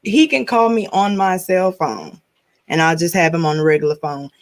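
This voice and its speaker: A woman's voice: lowish voice, normal speed. A woman hosting a podcast, chatting casually, teasing her guest and laughing often. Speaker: podcast host. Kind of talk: casual chat.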